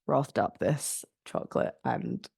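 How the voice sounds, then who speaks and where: A young woman hosting a relaxed solo podcast from her spare room, sounding congested and nasal from blocked sinuses. She chats in a rambling way, with lots of "like" fillers and light laughs. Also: very deep voice, slow, vocal fry, breathy edge, no echo, clean studio sound. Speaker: podcast host.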